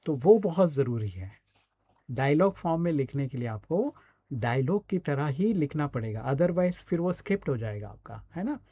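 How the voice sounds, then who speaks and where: A man's voice: fairly high pitch, very animated, normal speed, mellow, husky, dry recording, highs cut off. A man with an Indian accent coaching in a conversational, explanatory way, often checking in with short questions. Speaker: voice and radio scriptwriting coach. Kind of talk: conversational online teaching.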